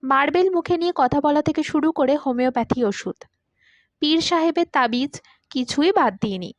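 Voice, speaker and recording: A courteous, talkative man of about forty in an audiobook, read by a woman, confiding and wistful. The voice sits high, and the sound is dry and free of echo. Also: moderate pace, highs cut off, lightly acted. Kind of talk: audiobook character dialogue.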